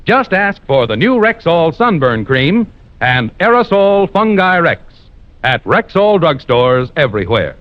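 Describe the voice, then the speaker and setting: A man's voice: a little high, very animated, measured pace. A man announcing in polished, emphatic 1950s radio style, reading scripted copy like a salesman. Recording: muffled old radio recording.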